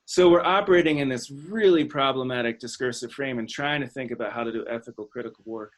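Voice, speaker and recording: Halting, academic male webinar host. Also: medium pitch. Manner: tentative, halting, academic phrasing. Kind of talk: hesitant, thoughtful facilitated discussion. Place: online video call.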